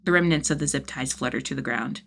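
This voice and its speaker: Female narrator for fan fiction audiobook, low register, expressive storytelling. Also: fast talker, gravelly. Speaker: podfic narrator.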